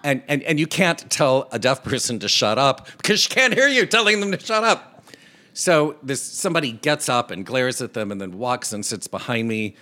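A soft-voiced man co-hosting a talk show, telling an animated anecdote and mimicking the people in it. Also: mid-range voice, normal speed. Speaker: podcast co-host. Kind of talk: casual storytelling chat.

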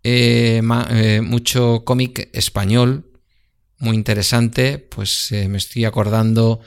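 A man's voice: medium pitch, little pitch movement, slow pace, creaky, smooth. A middle-aged man in casual conversation, talking with many fillers and restarts. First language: Spanish. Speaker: guest. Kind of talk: casual conversation about books.

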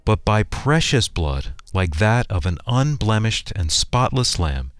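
A man's voice: on the low side, very animated, a little slow, clear, resonant tone, no echo. A man co-hosting a radio program, reading a scripted introduction as the announcer.

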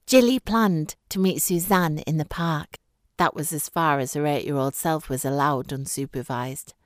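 A woman narrates a piece of short fiction from a script. Her voice is on the low side, and the recording is dry, with very little room in it. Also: a little mellow, no echo. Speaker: story reader.

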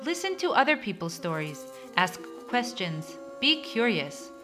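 A woman's voice: medium pitch, very animated, a little slow, sharp tone. A woman co-host reading the scripted opening of a podcast expressively. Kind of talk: scripted show intro. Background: music.

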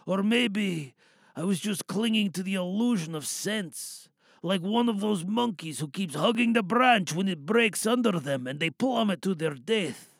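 Male actor as exhausted British soldier for audio drama, gravelly, expressive, bitter and world-weary. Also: high voice, unhurried, no room sound, clean studio sound, exaggerated voice. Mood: weary, resigned. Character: weary, battle-hardened British soldier, whispering to a comrade.

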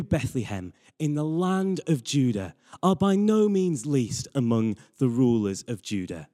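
A man's voice: mid-range voice, warm tone. Young British man preaching, long hall reverb. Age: young adult. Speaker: church intern preaching. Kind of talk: sermon with Bible reading.

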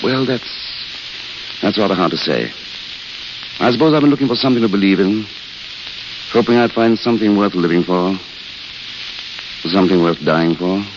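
Low-voiced, weary gentleman writer, crackly old radio. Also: animated, normal speed, pauses often. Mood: wistful, gentle. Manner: courteous, wistful, self-deprecating. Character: weary, self-mocking failed writer, a wandering intellectual. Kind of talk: 1930s-40s radio drama acting. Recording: hissy, crackly, muffled old radio recording.

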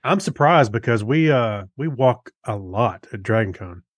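A man's voice: mid-range voice, expressive, unhurried, no echo, studio quality. An American man chatting casually as a podcast co-host, with an easygoing, folksy way of talking. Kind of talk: casual chat.

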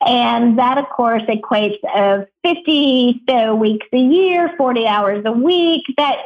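A woman's voice: fairly high pitch, takes it slowly. A woman co-hosting a radio show, bubbly and playful, laughing often as she introduces the episode. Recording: dull lo-fi audio.

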